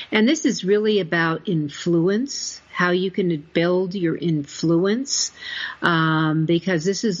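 A woman hosting a podcast conversationally, emphatic and repeating her points, on a dull recording. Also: fairly low pitch. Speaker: podcast host. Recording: dull, muffled lo-fi audio.

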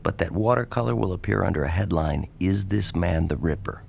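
An actor playing a smooth-talking, pushy but reassuring male journalist in an audio drama, casual and persuasive. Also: deep voice, expressive, fairly quick, a little mellow, slight background noise, exaggerated voice. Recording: music under the dialogue.

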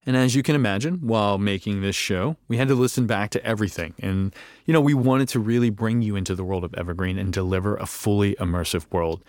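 A man's voice: fairly low pitch, fast, darkish tone, no room sound, clean studio sound. A man who co-created a podcast, presenting a conversational scripted sponsor message.

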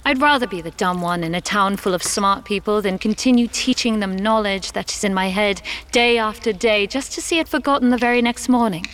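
A woman playing an earnest, courteous village oracle in an audio drama, speaking in a gentle, measured and articulate way. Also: medium pitch, slight background noise, lightly acted. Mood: weary, earnest.

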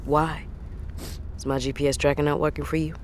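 An actress playing a battered, sick woman truck driver, talking in a weary, halting, blunt way in a naturalistic audio drama. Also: deep voice, level tone, darkish tone, slight background noise, close to an everyday voice. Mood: weary, defiant. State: sick, worn out.

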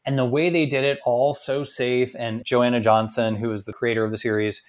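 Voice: mid-range voice, level tone, a little fast. A podcast co-host chatting casually and gushing about a show they previewed. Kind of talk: casual chat.

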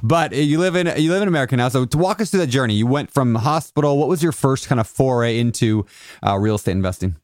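A man's voice: medium pitch, lively intonation, darkish tone, no echo, clean studio sound. A man hosting a podcast interview in a casual, joking, chatty way. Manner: joking, chatty, quick to laugh. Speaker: podcast host.

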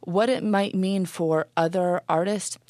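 A woman journalist, a guest on a radio show, explaining a legal matter clearly and briskly. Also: on the low side, steady tone, darkish tone, no echo, studio quality. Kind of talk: radio interview answers.

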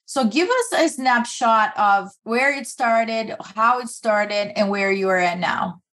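A woman co-hosting a podcast who asks questions haltingly, often repeating words as she goes. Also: fairly high pitch, animated, a bit bright. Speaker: podcast co-host. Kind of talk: podcast interviewing.

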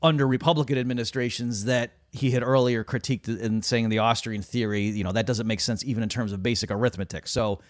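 Animated man in a dry, close-sounding recording. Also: medium pitch, fast talker, hardly any pauses, no room sound. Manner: animated, quotes opponents in put-on voices. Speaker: co-host. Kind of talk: conversational podcast discussion.

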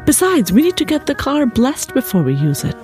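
A woman's voice: high-pitched, huge pitch swings, a little fast, darkish tone, faint music behind, voice pushed up. A woman voicing a nervous, superstitious wife in an audiobook story, earnest and pressing. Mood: uneasy.

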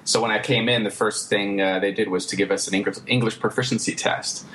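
A man from the United States talking as an interview guest, relaxed and hesitant, with easy laughs. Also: lowish voice, fairly quick, noisy background. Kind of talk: casual interview talk.

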